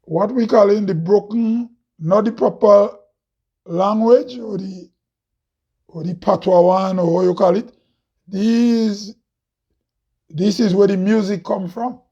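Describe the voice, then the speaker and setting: A man from Grenada with a Grenadian accent and a loud, heavy voice, hosting an impassioned monologue full of rhetorical questions. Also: high-pitched, slow, pauses often. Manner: preachy, rhetorical questions, laughs often. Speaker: host. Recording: dull lo-fi recording.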